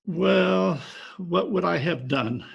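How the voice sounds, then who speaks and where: Man playing a very gravelly, jilted fisherman. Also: sits high, slow, muffled recording, full character voice. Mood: lovelorn, rueful. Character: jilted, gullible, aggrieved fisherman from Maine. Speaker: actor in an amateur radio-play reenactment. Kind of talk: old-time radio drama acting. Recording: remote home recording over video call.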